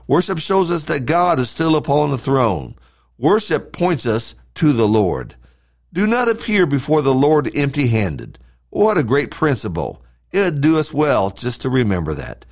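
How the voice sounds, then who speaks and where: A man reading a scripted devotional in a sermon-like style, speaking earnestly and deliberately as a podcast host and preacher. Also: a little high.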